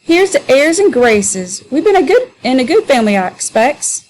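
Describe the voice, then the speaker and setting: A woman putting on a loud mocking joker man. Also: high-pitched, sing-song, moderate pace, a little hiss or hum, voice pushed up, low-quality recording. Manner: mocking, drawling, broad dialect. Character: boisterous teasing grown man, a loud joker.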